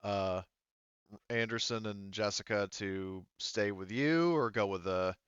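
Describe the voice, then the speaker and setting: A man acting as game master, casually narrating a tabletop game with halting, self-interrupting phrasing. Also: fairly low pitch, fairly bright tone, clear, resonant tone, no room sound, lo-fi sound.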